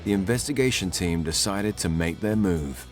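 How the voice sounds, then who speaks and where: A man's voice: sits low, normal speed, over music. A man reading scripted narration for a true-crime documentary.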